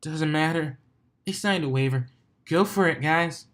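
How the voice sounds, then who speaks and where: Man as a female cartoon DJ pony, raised pitch, cocky, breezy, egging others on. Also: measured pace, fairly bright tone. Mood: amused, goading.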